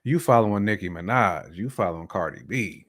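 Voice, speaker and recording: A man's voice: low-pitched, expressive, brisk. An American man talking casually and slangily as a panelist on a talk show, often checking in with "you know". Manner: conversational, peppered with "you know". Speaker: talk show panelist giving a man's point of view.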